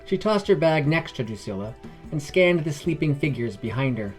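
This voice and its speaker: A man's voice: a little high, expressive, moderate pace. Man narrating fantasy fiction over music.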